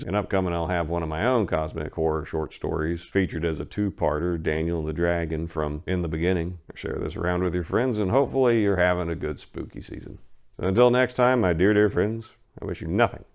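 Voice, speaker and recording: A man's voice: low-pitched, brisk, vocal fry, clear, resonant tone. A man who hosts a story-reading channel, talking casually and directly to his viewers. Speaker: story reader and channel host. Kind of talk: casual outro addressed to viewers.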